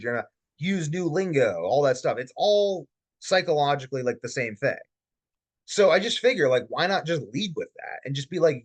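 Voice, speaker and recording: A man hosting a casual webinar, talking in a rambling way with lots of fillers. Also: a little high. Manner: rambling, filler-heavy, animated. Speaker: webinar host, insomnia coach. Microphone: computer mic on video call.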